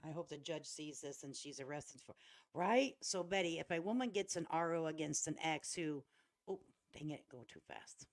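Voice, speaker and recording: A woman's voice: deep voice, normal speed. A woman hosting a casual reaction livestream, commenting in a folksy, sarcastic, mocking way. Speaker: livestream host.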